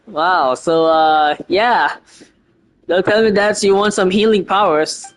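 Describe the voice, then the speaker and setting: High-voiced man reacting to a game. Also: slow pace, a little hiss or hum. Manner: slangy, exclamatory. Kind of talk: casual reaction commentary.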